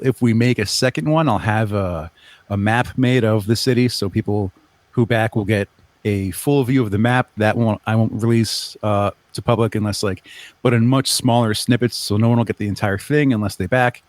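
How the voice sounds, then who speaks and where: A man's voice: mid-range voice, no echo. A man being interviewed as a creator, talking casually and explaining things in an earnest way. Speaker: guest, audio drama creator.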